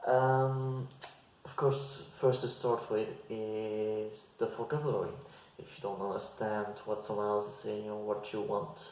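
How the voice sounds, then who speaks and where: Man, hesitant casual advice, low flat voice. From Belgium. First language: Dutch. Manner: slow, hesitant, with frequent restarts and fillers. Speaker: language-learning vlogger. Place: bare indoor room. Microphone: fixed camera at desk distance. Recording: very echoey bare room.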